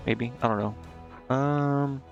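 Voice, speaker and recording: Creaky-voiced man muttering through a game. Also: medium pitch, steady tone, slow, lo-fi sound. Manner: muttering to himself, indecisive, slangy. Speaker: game streamer. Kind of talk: casual live gameplay commentary, thinking aloud. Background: game music.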